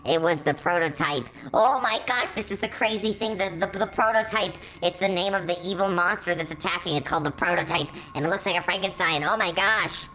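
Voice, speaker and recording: A woman performs a musical robot character hosting an online story reading. The voice sits low for a woman. Its delivery is theatrical and exclamatory, easily astonished and always reacting to the tale. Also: brisk, few pauses, bright, forceful tone, a little hiss or hum, rough recording. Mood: excited. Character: excitable musical robot reading fan fiction about himself. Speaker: channel host.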